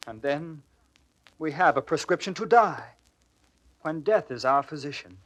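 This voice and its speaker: A foolish, lovesick gentleman in a classical radio play. His voice sits high for a man. He is plaintive and weak-willed, despairing in a theatrical way. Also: expressive, a little slow, lo-fi sound. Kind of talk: classical stage acting in audio drama.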